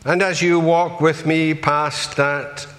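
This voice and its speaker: A man's voice: a little high, flat delivery, takes it slowly, a bit bright, long reverb tail. A man preaching a sermon, earnestly putting rhetorical questions to his congregation.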